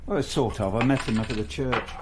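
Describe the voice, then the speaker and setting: An actor playing a persistent stranger who asks probing questions in a radio drama. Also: male voice, medium pitch, brisk, background noise, close to an everyday voice. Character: probing male outsider, persistent and calm.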